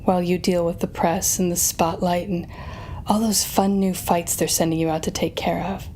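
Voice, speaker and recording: A woman's voice: fairly low pitch, level tone, darkish tone, slight background noise, close to an everyday voice. A woman playing a sardonic, telepathic supervillain in an audio roleplay, speaking straight to the listener with dramatic, wry exasperation. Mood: menacing, smug. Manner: dramatic, wry, exasperated, halting when flustered.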